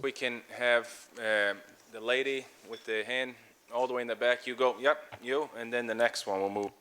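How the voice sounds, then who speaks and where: A man moderating floor questions in a large conference hall, brisk, polite and directive. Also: medium pitch, fairly even intonation, bright, forceful tone, a little hiss or hum. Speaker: session moderator.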